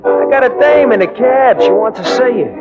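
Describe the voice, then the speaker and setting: A man's voice: very high voice, wildly swooping pitch, moderate pace, full character voice. An actor playing a brash, slangy American cab driver with blunt tough-guy talk, in 1940s radio style. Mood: brash, excited. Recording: dull, echoey vintage radio, loud music.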